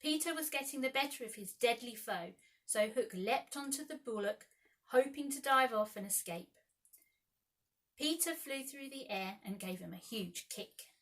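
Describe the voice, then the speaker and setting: A woman narrating a children's story expressively, with dramatic, measured phrasing. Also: fairly high pitch, animated. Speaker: storyteller, school staff reader.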